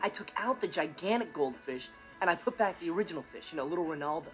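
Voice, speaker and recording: A man's voice: a little high, animated, fast talker, fairly bright tone, faint music behind, poor audio. A young American man playing a cocky, mischievous, laid-back teenage boy in a sitcom, talking to the audience in a casual, wisecracking way. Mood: smug. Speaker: sitcom actor. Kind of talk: comedic monologue to the audience.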